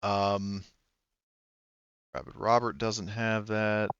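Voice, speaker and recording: A man working as game master in a tabletop role-playing game, casually narrating and thinking aloud haltingly through the rules. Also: fairly low pitch, level tone, a bit bright, smooth, no echo, lo-fi sound.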